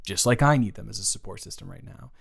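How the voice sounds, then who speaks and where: A man's voice: lowish voice, vocal fry, slightly rough, exaggerated voice. Hesitant, awkward ex-boyfriend played by a man. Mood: earnest, caring. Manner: hesitant, halting, stammering, with nervous chuckles. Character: awkward, considerate young ex-boyfriend, nervously teasing. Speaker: audio roleplay voice actor. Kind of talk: improvised intimate roleplay acting, conversational.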